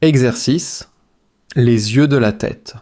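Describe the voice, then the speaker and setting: Slow, measured man reading drills. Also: mid-range voice, mellow. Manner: measured, repetitive phrase-by-phrase delivery. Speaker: language teacher.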